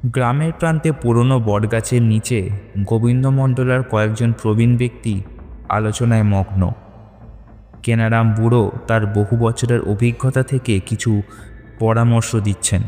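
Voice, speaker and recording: A man's voice: mid-range voice, fairly even intonation, normal speed, dark tone, big-room sound, soft background music. A man narrating a scripted horror story in a dramatic, suspenseful manner.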